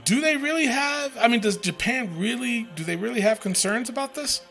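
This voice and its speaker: A man's voice: very high voice, expressive, a little fast, creaky, pushed and bright, faint music behind. A man hosting a casual wrestling podcast, rambling with lots of fillers and the odd swear word. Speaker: podcast host.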